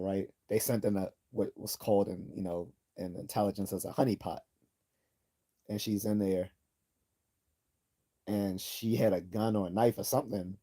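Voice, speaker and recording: A man hosts a casual livestream, reading viewer comments aloud and chatting between them. His voice sits low, with a very dark, husky tone. He rambles with many restarts and stops to clear his throat. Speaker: livestream host.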